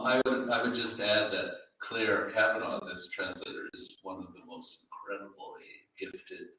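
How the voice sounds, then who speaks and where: A man's voice: sits low, sharp tone. A man speaking off the cuff on a literary panel as a publisher and editor, hesitant and reflective as he goes. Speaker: publisher and editor discussing poetry. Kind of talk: unscripted literary panel talk. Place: indoor library room at a live event. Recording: very echoey room, distant mic, faint noise.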